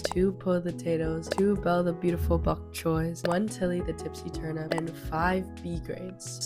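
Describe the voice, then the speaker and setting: A young woman chatting casually and upbeat in a vlog, full of "like" and "literally". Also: on the low side. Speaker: small business vlogger. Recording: background guitar music.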